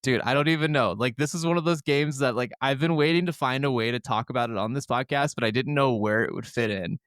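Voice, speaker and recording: A man's voice: mid-range voice, fast, a bit bright, no echo, clean studio sound. A man co-hosting a podcast in casual chat, talking in a crude, jokey, profane way. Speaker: podcast co-host.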